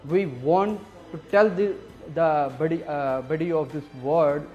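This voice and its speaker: A man's voice: fairly high pitch, measured pace, a little mellow, highs cut off, soft background music. A man from Pakistan, the executive director of a peace group, speaking earnestly and emphatically in an interview. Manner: earnest, emphatic, lists items in rhythm. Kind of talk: advocacy interview speech.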